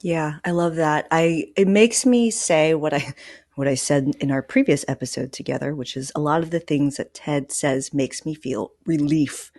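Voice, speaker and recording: A woman's voice: deep voice, moderate pace, darkish tone, no echo, studio quality. A woman hosting a podcast, talking in a candid, informal, conversational way. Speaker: podcast host.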